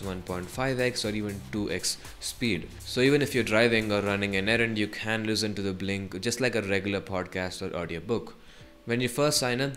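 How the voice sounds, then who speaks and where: A man with a fairly low voice narrates a scripted tech review. He speaks as a video presenter, with light music playing behind him. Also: a bit bright. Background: background music.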